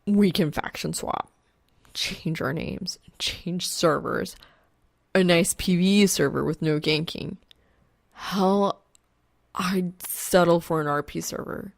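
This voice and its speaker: A woman voicing an exasperated, sweary young man who mutters and wheezes in a deadpan way. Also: on the low side, slow pace, vocal fry, no echo, heavily acted.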